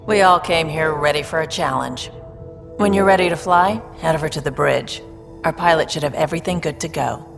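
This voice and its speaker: An actress playing a composed, proud starship officer, briefing in an assured, matter-of-fact way for a video game. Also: female voice, low voice, fairly quick, creaky, music in the background. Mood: proud, businesslike. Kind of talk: video game dialogue, guided tour.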